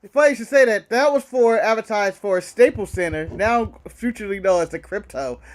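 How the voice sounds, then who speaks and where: A man's voice: high voice, lively intonation, brisk. A man co-hosting a podcast in casual sports chat, giving opinions and cracking jokes. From United States. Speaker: podcast co-host.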